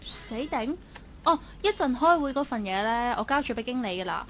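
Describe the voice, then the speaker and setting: A woman's voice: fairly high pitch, lively intonation, normal speed, a little hiss or hum, close to an everyday voice. An actress playing a timid, lovelorn young female office worker in a radio drama, speaking hesitantly. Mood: feigned calm. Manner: hesitant, stammering when upset. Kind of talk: Cantonese online radio drama acting.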